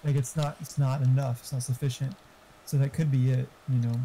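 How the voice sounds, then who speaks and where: Male gamer, mid-pitched and very mellow, casual opinionated voice-chat talk, muffled with slight background noise and typing. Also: little pitch movement, brisk. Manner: informal, swearing, opinionated. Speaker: gamer giving computer hardware advice.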